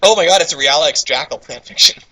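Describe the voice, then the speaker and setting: A man's voice: a little high, fast talker, pushed and bright, low-quality recording. A man casually co-hosting a fanfiction reading, reading bits aloud with sarcastic, exasperated, sweary commentary. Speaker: co-host of a dramatic fanfiction reading series.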